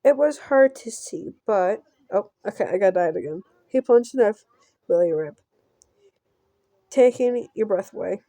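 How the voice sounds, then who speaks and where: A woman doing a casual let's play, reading a visual novel aloud haltingly and breaking off for offhand reactions. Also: medium pitch, animated, warm tone, dry recording.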